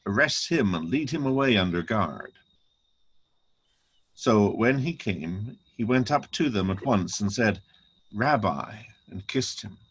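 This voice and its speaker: A man's voice: on the low side, expressive, slow pace, slightly rough, rough recording. A man narrating a dramatic reading of scripture.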